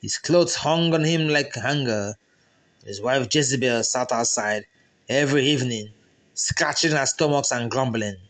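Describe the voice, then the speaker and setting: A man's voice: medium pitch, a little slow, a bit bright. A man with a Nigerian accent narrating a folktale in a dramatic storytelling style.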